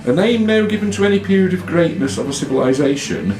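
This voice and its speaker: A man's voice: fairly high pitch, expressive, quick pace, darkish tone. A man hosting a live quiz, reading out questions and bantering with the players. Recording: echoey room with music.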